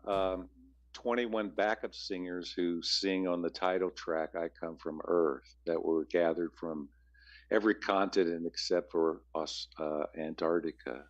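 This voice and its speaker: A man with a very low bass voice, answering interview questions as a musician guest, rambling with frequent restarts. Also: fairly even intonation, unhurried, muffled recording.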